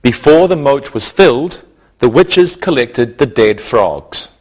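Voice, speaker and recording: A male teacher with a mid-pitched voice delivers a scripted grammar lesson. He speaks slowly and deliberately, breaking explanations into short, didactic phrases. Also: lively intonation.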